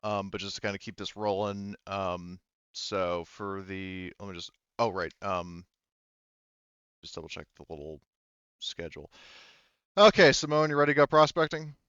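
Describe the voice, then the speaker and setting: Male game master, smooth and lowish voice, informal, thinking aloud, muffled dry recording. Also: normal speed, a bit bright, no room sound. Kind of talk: casual tabletop game narration.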